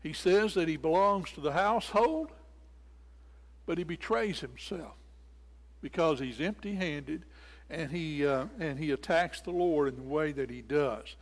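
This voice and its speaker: A man's voice: fairly high pitch, a little slow. An older man preaching an expository sermon, explaining scripture and repeating phrases for emphasis. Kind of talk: sermon, expository Bible teaching. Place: indoors in a church sanctuary at a lectern. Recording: reverberant church hall.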